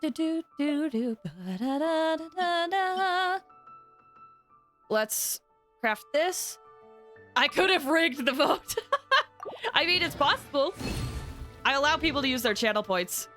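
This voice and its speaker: A woman livestreaming a game, chatting casually with viewers in a bubbly, expressive way as she thinks aloud. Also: high-pitched, slow pace, pauses often. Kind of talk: casual gameplay chat with viewers. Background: game music.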